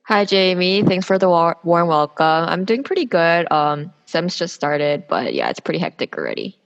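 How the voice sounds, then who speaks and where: A woman's voice: lowish voice, very animated, normal speed, lo-fi sound. A woman in her twenties from South Korea, speaking candidly and with opinions as a guest in a casual interview, explaining herself at length. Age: 20s. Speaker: podcast guest, public policy student.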